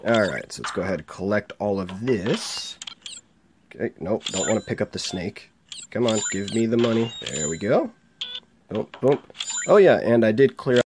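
A man's voice: on the low side, lively intonation, moderate pace, background noise. Chatty man with a sore throat. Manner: chatty, laughs while reading. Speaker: game let's play host. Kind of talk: casual gameplay commentary, reading dialogue aloud. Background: faint game music.

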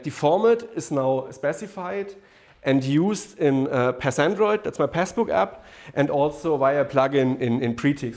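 A man in his thirties presents a technical idea to a meetup audience in a lecture room. He talks in a mid-range voice, stopping often on "um" and "uh" and starting his phrases over. He is seated at a laptop in front of a projector screen. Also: mid-range voice. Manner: hesitant, many fillers and restarts. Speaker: meetup speaker.

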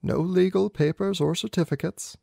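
Guy doing storybook gentleman detective, clipped probing questions, kinda high voice, dry close sound. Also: steady tone, normal speed, vocal fry, husky, no room sound, exaggerated voice. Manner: inquisitive, clipped, theatrical questioning. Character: keen, unflappable gentleman detective, brisk and probing. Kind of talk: amateur audiobook character reading.

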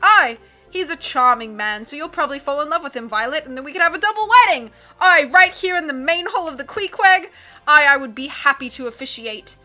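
A woman reading aloud and voicing a blustering male sea captain who booms and keeps saying "aye". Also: lively intonation, fairly quick, sharp tone, faint music behind. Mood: boisterous. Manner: bellowing, peppers speech with "aye".

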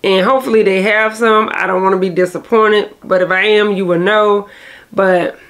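Nasal woman vlogger, casual and rambling, mid-pitched. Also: steady tone, normal speed, a bit bright. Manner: rambling, chatty, self-correcting. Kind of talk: casual vlog chat to camera.

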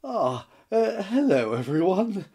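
Awkward young advisor, played by a man: husky, halting, very up-and-down. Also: sits high, very animated, warm tone, full character voice. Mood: sheepish. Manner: hesitant, stumbling, awkward. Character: sheepish young male political advisor.

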